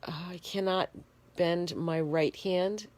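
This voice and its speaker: A woman in a bedroom talking frankly into a handheld phone, congested and shivering, pausing often with fillers. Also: on the low side, steady tone, a little mellow. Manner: plain-spoken, frank, halting with fillers. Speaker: vlogger. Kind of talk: casual personal video diary. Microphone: phone held in hand.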